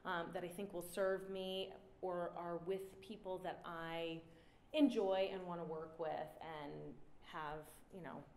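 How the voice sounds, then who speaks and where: A woman in her thirties giving an artist talk at a standing mic, relaxed and reflective, pausing often with "um". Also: on the low side. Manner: relaxed, reflective, many "um" pauses. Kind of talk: presenting her artwork with slides. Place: indoor gallery room before an audience. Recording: roomy gallery sound, faint noise.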